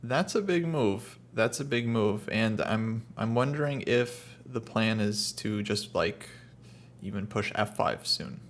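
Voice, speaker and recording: A man's voice: on the low side, measured pace. A man, chatting easily while commentating a chess game. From United States, raised in California. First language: Russian. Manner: relaxed, hedging, lots of fillers. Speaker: chess commentator, international master. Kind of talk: casual chess commentary chat.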